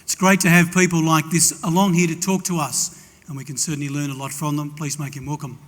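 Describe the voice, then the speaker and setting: A man's voice: fairly high pitch, level tone, a little fast, a bit bright. A man hosting a seminar, introducing the guest speaker with many hesitations in an expo hall. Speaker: event host introducing the speaker. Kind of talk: introductory remarks. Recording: reverberant hall.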